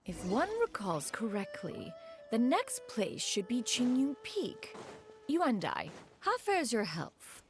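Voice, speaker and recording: A stately immortal woman who speaks in formal, old-fashioned phrases, her fairly high voice rising and falling with lively sweep. Game music is clearly heard behind her. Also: slow pace, exaggerated voice. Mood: composed. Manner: formal, archaic wording.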